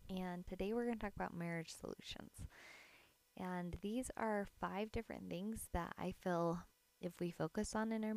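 Raspy-voiced woman podcast host. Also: lowish voice, a little mellow, dry recording. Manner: earnest, encouraging, laughs lightly. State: raspy early-morning voice. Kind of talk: casual solo podcast talk. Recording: dry close mic.

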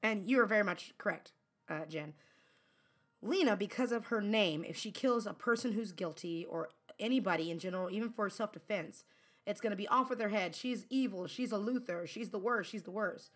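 A woman co-hosting a podcast in casual chat, giggly and animated, often acting out quotes. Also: mid-range voice, a little fast. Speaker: podcast co-host.

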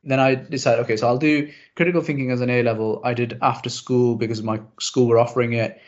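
A young man whose first language is Urdu chats easily as host, self-deprecating and full of quick asides. His voice sits at a middling pitch. The audio is a little dull and lo-fi. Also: level tone. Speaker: podcast host. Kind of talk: casual interview chat.

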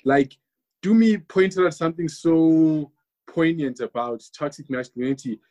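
A man speaking earnestly in a panel discussion, husky and emphatic, repeating words for stress. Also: on the high side, unhurried, darkish tone.

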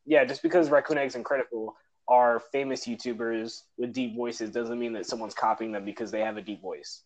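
A man's voice: medium pitch, steady tone, a little fast. A man co-hosting a casual podcast chat, laughing often and stumbling over repeated words as he starts sentences. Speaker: podcast co-host. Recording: dull lo-fi audio.

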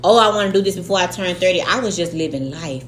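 A woman's voice: fairly low pitch, quick pace, echoey room, slight background noise. A woman in her late thirties co-hosting a podcast, chatting casually with lots of slang and swearing. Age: 30s. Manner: animated, slangy, swears freely. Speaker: podcast co-host. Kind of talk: casual chat.

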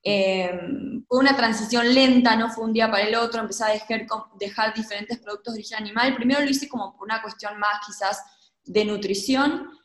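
A woman's voice: fairly high pitch, steady tone, a little fast, fairly bright tone. Argentine woman in an echoey room. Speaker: podcast guest, urban farming project co-founder. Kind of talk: casual conversational interview. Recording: roomy, echoing sound.

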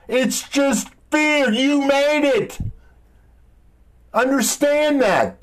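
A man with a deep, powerful voice giving an informal motivational talk in an emphatic, halting, blunt way, often repeating himself. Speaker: motivational speaker. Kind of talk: informal motivational monologue with rhetorical questions.

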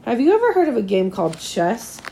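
Storybook role: encouraging, persuasive male teacher read by a woman, slightly hoarse, gentle delivery. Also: on the high side, wildly swooping pitch, brisk, slight background noise, pitched above the performer's own voice, poor audio. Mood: curious.

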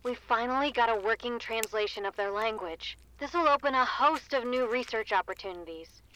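Bright, breezy woman researcher, acted. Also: on the high side, normal speed, slight background noise, low-quality recording. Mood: casual, friendly. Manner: casual, chatty. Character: breezy, businesslike woman researcher leaving phone message. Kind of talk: audio drama acting.

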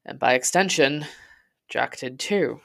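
A woman's voice: rumbling low, unhurried, creaky, a bit bright. A woman reading a scripted first-person story in a literary, dramatic storytelling manner. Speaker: podcast host and narrator.

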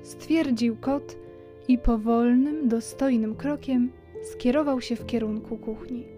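A woman narrates a children's story from a script. Her voice sits on the high side, and music plays clearly behind her. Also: steady tone, slow pace, dark tone.

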